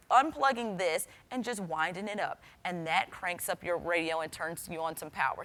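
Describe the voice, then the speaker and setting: Bright-voiced woman giving an instructional demo. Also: mid-range voice. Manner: explanatory, addresses the viewer directly. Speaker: TV weather forecaster presenting a how-to demo. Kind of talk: instructional product demonstration to camera.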